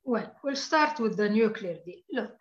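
A woman analyst giving a measured, formal answer in a remote interview. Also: mid-range voice, lively intonation, reverberant, dull audio. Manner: measured, formal policy talk. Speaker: political analyst.

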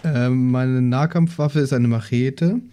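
A man's voice: medium pitch, slow, noisy background. A man chatting casually as a player in a tabletop role-playing podcast. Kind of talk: casual chat.

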